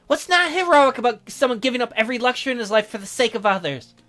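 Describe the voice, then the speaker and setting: Man putting on an excitable high-pitched nerdy young woman. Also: soft background music, exaggerated voice. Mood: exasperated. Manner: indignant, emphatic, fast-swinging.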